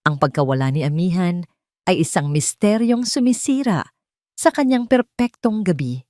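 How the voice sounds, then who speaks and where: A woman's voice: on the low side, expressive, normal speed, darkish tone, no room sound, clean studio sound. A woman narrating a scripted love story in a dramatic storytelling style.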